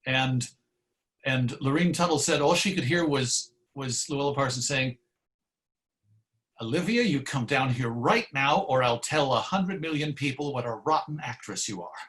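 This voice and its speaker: A man's voice: medium pitch, lively intonation, moderate pace, fairly bright tone. Male interview guest, mid-range voice. Articulate, discursive storyteller, conversational delivery. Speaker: interview guest, radio historian.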